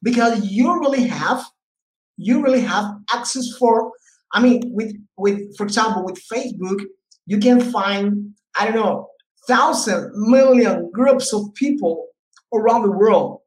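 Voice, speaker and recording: A man's voice: high voice, unhurried. A man from Colombia with a Colombian Spanish accent, a guest English teacher talking casually with fillers and restarts. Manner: loose, repetitive, many fillers and restarts. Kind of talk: casual interview talk. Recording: roomy echo.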